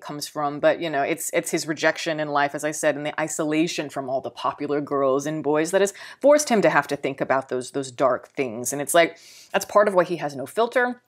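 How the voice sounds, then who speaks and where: Low-voiced Texan woman musing on film. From Texas. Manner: rambling, thinking aloud with frequent fillers and repeated words. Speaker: film reviewer. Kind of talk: casual spoken film review.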